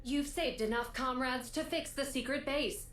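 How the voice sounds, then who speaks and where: A woman's voice: moderate pace, fairly bright tone. A woman voicing a proud, dutiful helper in a video game, announcing things formally. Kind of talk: scripted video game voice acting. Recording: echoey, faint rumbling noise.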